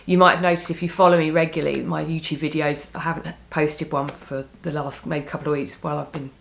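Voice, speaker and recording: A woman with a cold, sounding a little croaky, chatting casually to camera about her knitting plans, rambling with frequent restarts. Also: deep voice, little pitch movement, quick pace. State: has a cold, slightly croaky. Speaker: knitting vlogger.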